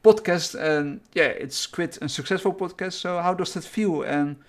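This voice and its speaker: A man's voice: sits high, moderate pace, fairly bright tone. A man with a Dutch accent interviewing a guest, asking questions hesitantly with many fillers. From Netherlands.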